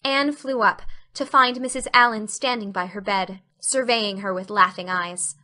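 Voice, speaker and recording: A woman's voice: mid-range voice, fairly even intonation, moderate pace, pushed and bright. A woman reading scripted audiobook narration as a storyteller.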